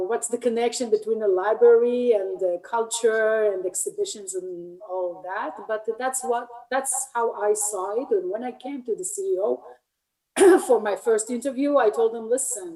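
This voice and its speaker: A woman's voice: on the high side, mellow. Interview guest: Israeli woman in her fifties, accented English, hesitant and a bit distant from the mic. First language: Hebrew. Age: 50s. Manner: hesitant, searching for English words. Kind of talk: casual interview chat.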